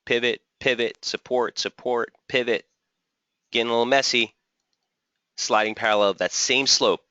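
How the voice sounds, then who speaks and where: A man hosting a live trading webinar, slightly hoarse, walking through charts and answering viewers' typed questions. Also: mid-range voice, fairly even intonation, normal speed, pushed and bright, no echo, lo-fi sound. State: slightly hoarse, losing his voice. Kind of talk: live market analysis webinar.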